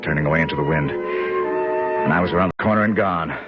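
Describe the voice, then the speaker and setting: A man's voice: rumbling low, a little fast. An actor playing Bill, a tough man telling his escape story in hard-boiled first-person narration for a 1970s radio drama. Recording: muffled old radio broadcast with music.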